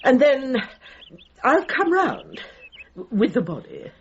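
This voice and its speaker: Very animated, firm, kindly vet. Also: female voice, sits high, slow pace, muffled recording, slight background noise, heavily acted. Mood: reassuring. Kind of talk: radio comedy drama acting.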